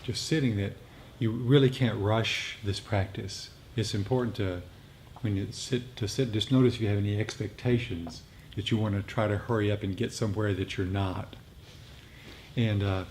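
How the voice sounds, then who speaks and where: A man leading a meditation group, speaking off the cuff in a reflective, halting way with repeated phrases. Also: on the low side, a little mellow. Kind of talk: unscripted reflective talk on meditation practice. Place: meditation group meeting room. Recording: noisy live room recording.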